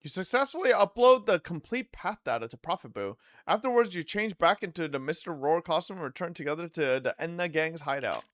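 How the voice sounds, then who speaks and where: A man's voice: fairly high pitch, expressive, a little fast, hardly any pauses, a bit bright, dry recording, clean studio sound. A man streaming a video game playthrough, commenting casually and reading aloud in an informal way. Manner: slangy, informal. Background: game music.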